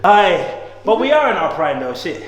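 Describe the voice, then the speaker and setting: A man in his thirties with a high voice talks in a casual, emphatic way, full of slang and motivational push. He sounds like he is in an echoey room, and his voice trails off in a long reverb. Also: big pitch swings, moderate pace. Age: 30s. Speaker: guest, entrepreneur. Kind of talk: casual conversation.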